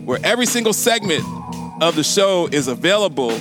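A man's voice: a little high, very animated, brisk, music in the background. A man hosting a casual talk radio show, often repeating and restarting phrases for emphasis.

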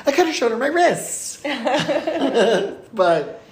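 A woman co-hosting a casual chat show, retelling a story with lively, laughing asides and sudden high exclamations. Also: very slow. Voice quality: laughs often while talking. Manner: animated retelling, voice jumps high when excited. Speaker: podcast co-host. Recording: echoey room with faint noise.

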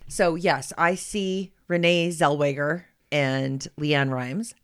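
A woman's voice: low voice, steady tone, slow, clear, resonant tone. A woman in her forties co-hosting a podcast, bantering casually with a friend. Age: 40s. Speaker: podcast co-host.

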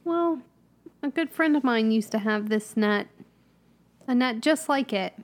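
A woman's voice: fairly high pitch, animated, a little slow. A woman playing a tabletop character, hesitant and thinking aloud with many ums. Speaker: player. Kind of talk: in-character roleplay at a tabletop game.

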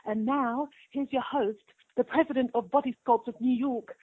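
A woman's voice: on the high side, steady tone, normal speed. Polished woman announcer, phone-thin sound. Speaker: show announcer. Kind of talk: scripted podcast intro. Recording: phone-like narrow band.

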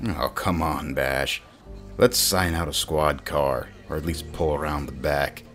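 A man playing a huge modified war veteran cop in a noir audio drama, gruff, weary and grumbling. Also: very deep voice, steady tone, measured pace, a bit bright, soft background music. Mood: sulky.

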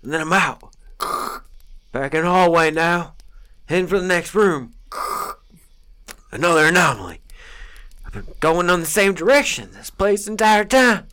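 A man's voice: high voice, expressive, normal speed, long pauses, sharp tone, clean tone. A man acting a rattled, wary field agent, muttering terse fragments of an eerie horror log. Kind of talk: dramatic reading of a horror log.

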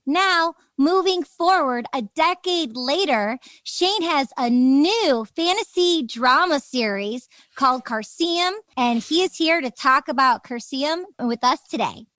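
A woman's voice: high voice, expressive, slow, hardly any pauses, fairly bright tone, no echo. A woman podcast host with an enthusiastic, informal manner, chatting in an upbeat interview style.

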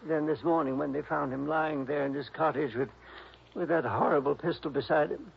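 An actor playing a sweet, dreamy, eccentric older composer, rambling and hesitant in a radio drama. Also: male voice, on the high side, fairly quick, creaky, a little hiss or hum. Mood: worried, hesitant. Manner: rambling, fluttery, hesitant, chuckling. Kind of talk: 1960s radio drama acting. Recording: dull, lo-fi old radio recording.

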